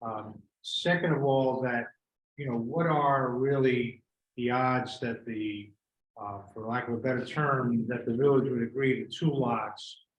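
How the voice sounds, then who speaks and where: A man's voice: mid-range voice, steady tone, measured pace, lo-fi sound. A man giving an informal, explanatory presentation of a plan at a board meeting. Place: meeting room. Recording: echoey room with background noise.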